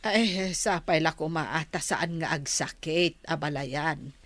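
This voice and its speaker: Older village in-law, radio comedy drama, female actor: slow delivery, inquisitive, concerned, Ilocano accent. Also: low-pitched, fairly bright tone, dry recording.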